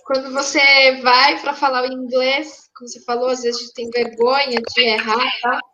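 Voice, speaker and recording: High-voiced woman host on a muffled line. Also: little pitch movement, normal speed. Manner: conversational, tag questions like né and tá. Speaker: host, English teacher. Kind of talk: hosting a live interview, reading viewer questions. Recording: dull lo-fi stream audio with noise.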